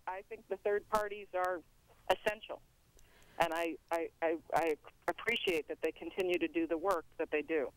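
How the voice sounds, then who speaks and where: An American woman phoning in to give an earnest, articulate political opinion, measured and searching for words. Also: fairly low pitch, dry recording. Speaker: phone-in caller. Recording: dry telephone line.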